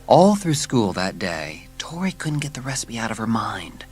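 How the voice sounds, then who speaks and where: Man, audiobook narrator, scripted children's storytelling, lively intonation, music behind. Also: mid-range voice, normal speed.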